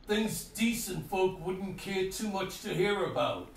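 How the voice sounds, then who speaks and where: Bitter ancient haunted tower, radio play, high-pitched for a man, grim monologue, distant noisy recording. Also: lively intonation, creaky, full character voice. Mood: world-weary. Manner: grim, slow, ominous monologue. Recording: noisy, echoey, distant microphone.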